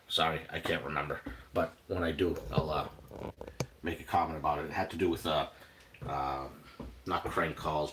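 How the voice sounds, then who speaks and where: A man's voice: low-pitched, fairly bright tone, a little hiss or hum. An older man with a New England accent, chatting casually while cooking on a livestream, rambling with lots of fillers. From Boston area, New England. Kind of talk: casual live cooking chat.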